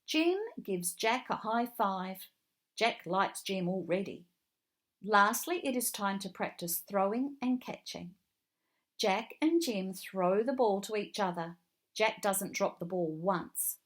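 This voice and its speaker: A woman narrates a children's storybook as a read-along. She takes it very slowly and deliberately, with expressive phrasing and a mid-range pitch.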